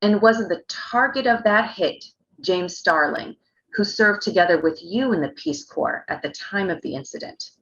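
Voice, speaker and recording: A woman's voice: mid-range voice, expressive, moderate pace, a bit bright, natural voice. A woman playing a relentless news reporter in a script read, putting pointed, accusatory questions, in a roomy, slightly dull recording. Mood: pointed, accusing. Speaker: actor in a virtual table read. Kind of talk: screenplay table-read acting.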